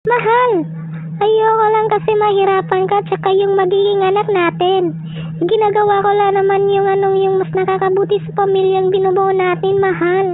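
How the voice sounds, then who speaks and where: A woman's voice: very high voice, flat delivery, normal speed, narrow, tinny audio, noisy background. A woman playing a worried, caring young wife in an amateur radio drama. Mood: pleading, worried.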